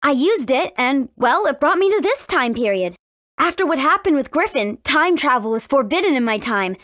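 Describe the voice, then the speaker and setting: A woman's voice: high voice, very animated, normal speed, a bit bright, dry recording, clean studio sound. A woman voicing a spirited, cautious fifteen-year-old warrior princess in a video game, earnest and youthful in her delivery. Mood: cheerful. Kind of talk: English video game voice acting.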